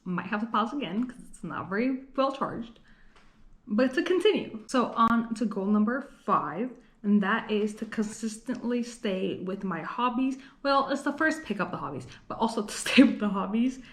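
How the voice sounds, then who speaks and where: A woman's voice: fairly high pitch, lively intonation, normal speed, echoey room. A young woman from Los Angeles talking casually to her camera in a rambling, chatty vlog, full of fillers and little laughs. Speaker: vlogger. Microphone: camera mic.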